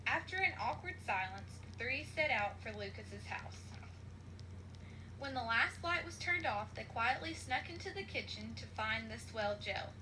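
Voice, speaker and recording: A woman reading a children's story aloud as scripted narration, in an expressive storytelling manner. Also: a little high, unhurried, noisy background. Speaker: storybook reader.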